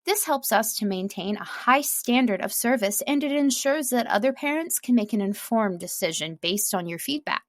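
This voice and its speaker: A woman with a fairly high voice reads a script as a professional narrator. Her delivery is measured and clearly articulated. The recording is very dry, with no room sound around the voice. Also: expressive, no room sound. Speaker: voice-over artist and narrator.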